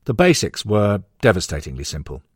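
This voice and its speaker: A man's voice: on the low side, sing-song, a little fast, breathy edge, no room sound, studio quality. A man narrating a non-fiction sports history book in a scripted audiobook reading.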